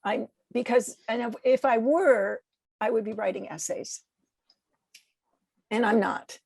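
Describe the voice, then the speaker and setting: An American woman speaking as a guest poet in a reflective interview, halting and searching for words as she corrects herself. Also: fairly high pitch, creaky, a little mellow, breathy edge, dry recording. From United States.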